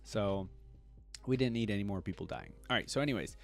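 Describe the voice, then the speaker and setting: Male reaction creator, lowish voice, casual lore read-through with off-the-cuff asides, faint music underneath. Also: a little fast, vocal fry. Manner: interrupts reading with conversational asides.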